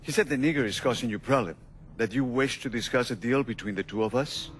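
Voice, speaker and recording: An actor playing a cold, controlling Italian drug supplier in a video game, with an Italian accent and formal, deliberate speech. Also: male voice, mid-range voice, a little hiss or hum, natural voice. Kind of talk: video game cutscene acting.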